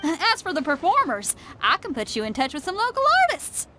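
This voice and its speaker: An actress playing a bold, upbeat young female rock musician in a game, talking in an energetic, breezy and persuasive way. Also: high-pitched, a little fast, heavy background noise. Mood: encouraging. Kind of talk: game dialogue. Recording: game audio with faint music behind.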